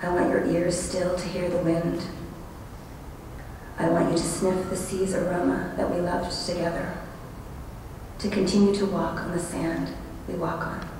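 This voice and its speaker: A woman giving a prepared memorial tribute and poetry reading with measured, solemn phrasing. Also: on the low side, level tone. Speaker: college friend speaking at a memorial. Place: large echoing hall at a memorial service. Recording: very echoey hall, distant, noisy ambience.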